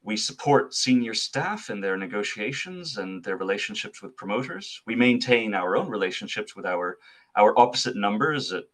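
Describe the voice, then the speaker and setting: Low-voiced Swiss-born man, thoughtful. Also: animated, moderate pace, fairly bright tone. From Switzerland. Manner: thoughtful, articulate, with frequent fillers and restarts. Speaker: associate artist manager, guest. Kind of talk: interview answers.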